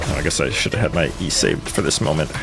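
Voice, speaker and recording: A man's voice: extremely low pitch, quick pace, vocal fry, music in the background. A man live streaming a game, giving casual, joking, slangy commentary and talking back to what happens on screen. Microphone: streamer's microphone, game music behind.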